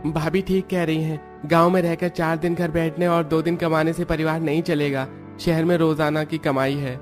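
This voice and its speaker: A man plays a poor village labourer in a cartoon, earnest and aggrieved. His voice is a little high, with faint music behind it. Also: little pitch movement, moderate pace, lightly acted. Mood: deliberating. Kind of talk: scripted Hindi cartoon dialogue.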